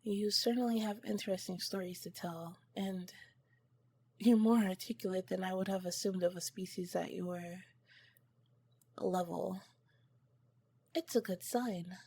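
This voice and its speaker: Woman acting as shy alien scientist lady for asmr roleplay, soft breathy voice, takes her time, stiff formal wording, gets flustered. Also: mid-range voice, steady tone, unhurried, pauses often, no room sound. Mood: curious, gentle. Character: awkward, earnest female alien scientist, shyly smitten.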